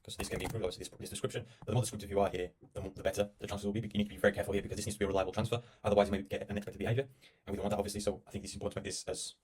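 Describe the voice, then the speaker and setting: A man narrating a casual software tutorial, explaining and thinking aloud as he works. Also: on the low side, flat delivery, racing, hardly any pauses, slight background noise. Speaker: tech tutorial presenter.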